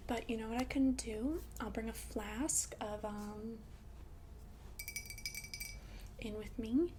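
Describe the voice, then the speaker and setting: A woman voicing a friendly, considerate young vampire in a chatty ASMR roleplay, speaking in a soft, breathy half-whisper. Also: fairly high pitch, a little slow, noisy background, natural voice. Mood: casual, eager. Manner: chatty, hesitant, reassuring, casual. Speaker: ASMR performer.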